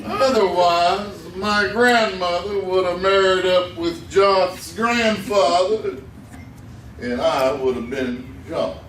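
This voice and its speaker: A man as a high-voiced Ozark hillman. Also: slow pace, far from the mic. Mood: earnest, amused. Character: explaining, good-natured Ozark hillman. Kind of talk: old-time radio western acting on stage. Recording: echoey theater, loud audience and noise.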